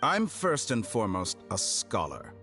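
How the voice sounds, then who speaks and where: A low-voiced, calculating male villain for a video game, with lively, swooping intonation. He is a scholar who talks with deliberate condescension and cool amusement, over clear background music. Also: exaggerated voice. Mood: cool, condescending. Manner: smooth, condescending, deliberate, mock-polite.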